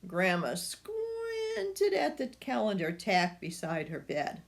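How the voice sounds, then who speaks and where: An older woman reading a picture book aloud to children, expressive and speaking directly to her young listeners. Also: mid-range voice, slow pace, gravelly.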